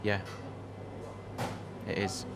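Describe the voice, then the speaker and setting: A man's voice: drawn-out words, background noise. A man acting a guarded but sincere younger racing driver in a game cutscene, reserved and terse. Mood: modest, sincere.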